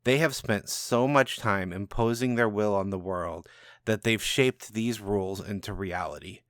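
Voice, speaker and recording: Rogue mage for storybook narration, male reader: cocky, powerful, conspiratorial, on the low side. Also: measured pace, fairly bright tone, dry recording, clean studio sound. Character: cocky, irreverent, powerful rogue wizard named Frankie. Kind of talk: first-person storybook narration.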